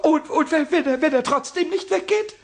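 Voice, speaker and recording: A man playing a small, cheeky, childlike kobold in a radio play, with a squeaky cackling voice, stammered repetitions. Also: moderate pace. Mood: frightened, pleading. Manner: stammering repetitions, childish exclamations, sing-song rhymes. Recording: noisy old radio recording.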